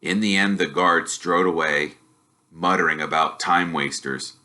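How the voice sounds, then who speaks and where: A man reading a story aloud as expressive scripted narration. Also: sits low, unhurried. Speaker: storybook reader.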